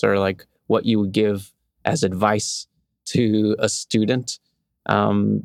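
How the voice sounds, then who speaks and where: Male podcast host, creaky but clear low-leaning voice, casual interviewer. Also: no echo, studio quality.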